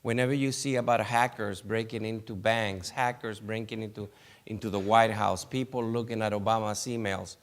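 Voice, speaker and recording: A man's voice: lowish voice, steady tone. A man moderating a conference panel, introducing the next speaker with many restarts. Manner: halting, many restarts and light laughs. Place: conference hall.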